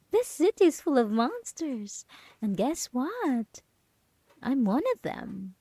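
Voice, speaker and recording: A woman's voice: a little high, dark tone, no echo, exaggerated voice. Possessive, swoopy-voiced yandere roommate played by a woman. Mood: gleeful menace. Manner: teasing, condescending, lingering on words. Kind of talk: dramatic ASMR roleplay monologue.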